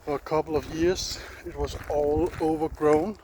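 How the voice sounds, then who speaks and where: A man's voice: fairly high pitch, fairly even intonation, normal speed. A man from Denmark with a Danish accent, speaking English as a second language, casually vlogging as he walks a forest trail, thinking aloud and sometimes searching for words. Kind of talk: casual walking vlog. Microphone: handheld phone. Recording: outdoor phone recording with clear background noise.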